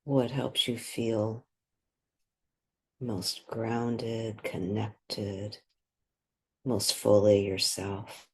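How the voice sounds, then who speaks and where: A woman leading a guided meditation, speaking gently and unhurriedly, with long pauses between short phrases. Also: extremely low pitch, little pitch movement, breathy edge.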